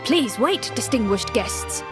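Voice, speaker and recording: Courtly game palace guard: deferential, formal, animated pitch, light music behind. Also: female voice, slow. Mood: courteous, formal. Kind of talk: video game voice acting.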